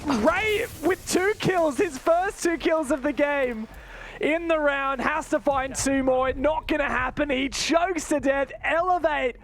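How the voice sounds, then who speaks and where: A man's voice: very high-pitched, measured pace, fairly bright tone. A man commentating live on an esports match, mixing play-by-play with analysis.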